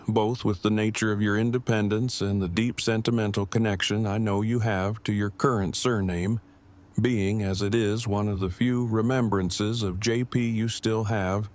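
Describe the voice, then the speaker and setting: Verbose, devoted groom, played by a male actor: lowish voice, stilted formal diction, pedantic, audio drama. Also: natural voice. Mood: sincere. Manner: stilted, wordy, formal phrasing.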